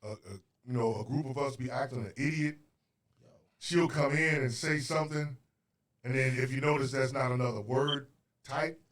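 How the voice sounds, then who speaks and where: A man's voice: medium pitch, steady tone, moderate pace, a bit bright. A Southern man co-hosting a podcast, talking casually and often chiming in to agree.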